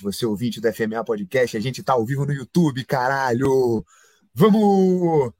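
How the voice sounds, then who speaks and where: A man co-hosting a casual football podcast live, excitable and swearing a lot as he talks. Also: on the low side, slightly rough, dry recording. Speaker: podcast co-host. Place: home studio, live stream.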